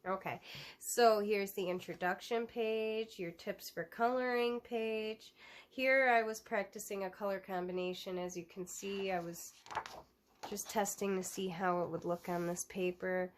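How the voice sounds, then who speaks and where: A woman's voice: mid-range voice, slight background noise. A young woman with a stuffed-up cold, chatting casually through a coloring book flip-through with many ums and restarts. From United States.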